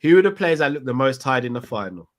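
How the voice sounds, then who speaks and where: Male co-host on a live fan call-in, casual, reads viewer comments aloud, mid-pitched voice. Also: sing-song, fast talker. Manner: reads out viewer messages, laughs often.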